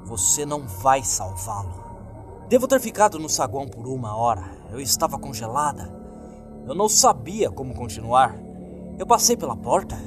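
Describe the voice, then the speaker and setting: Horror narrator: Brazilian man, lively mid-pitched delivery, dramatic scripted reading over clear music. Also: fairly quick. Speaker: creepypasta narrator. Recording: clear music bed behind voice.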